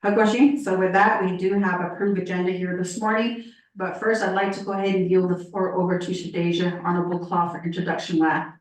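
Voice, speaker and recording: A woman chairing a committee meeting, moving through the agenda in a measured, procedural way with frequent fillers. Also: on the low side, flat delivery. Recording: echoey meeting room.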